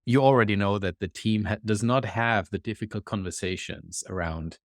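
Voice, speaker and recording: A man with a German accent, a podcast guest, explaining his work in a thoughtful, measured way. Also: fairly low pitch, no room sound, studio quality. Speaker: guest, executive consultant. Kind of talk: conversational interview.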